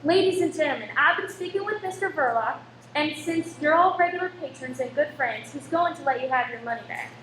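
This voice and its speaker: A firm but kindly married woman from a radio play, acted by a woman. Her voice is high and composed, heard in an echoey room with a little noise. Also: expressive, brisk, a bit bright. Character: Winnie, brisk, kindly cinema owner's wife. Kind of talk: amateur radio play acting.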